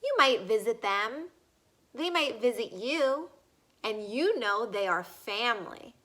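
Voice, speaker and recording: High, bright-voiced woman reading to children. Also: expressive. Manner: deliberate, addressing young listeners with questions. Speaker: read-aloud reader. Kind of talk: reading a children's picture book aloud.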